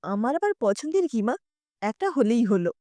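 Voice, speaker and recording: A woman voicing a meek, resigned older mother-in-law, gentle and self-effacing, in an audio story. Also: on the high side, sing-song, normal speed, no room sound, voice pushed down. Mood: embarrassed, meek. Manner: soft-hearted, self-effacing.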